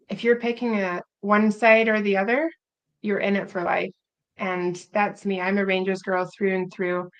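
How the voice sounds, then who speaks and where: A woman's voice: medium pitch, creaky, low-quality recording. A woman from Scotland with a North American-influenced accent, co-hosting a casual podcast in an earnest, upbeat way. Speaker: podcast co-host.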